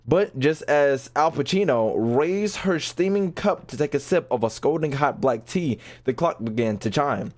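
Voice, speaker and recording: A man's voice: a little high, animated, a little slow, hardly any pauses, darkish tone. A man livestreaming a video game, chatting casually with slang and swearing, often repeating himself and stumbling over words. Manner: stumbles often when reading aloud; slangy, profane, repeats phrases emphatically. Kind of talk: casual livestream commentary, reading game text aloud and chatting.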